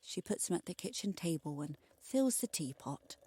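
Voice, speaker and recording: A woman narrating a scripted bedtime short story for adults, expressive and wry. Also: on the low side, moderate pace, husky.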